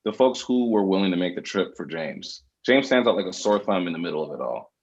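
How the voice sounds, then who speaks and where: Screenplay narrator, male, very low and husky, reading scene directions. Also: expressive, brisk, dull audio. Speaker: reader of stage directions.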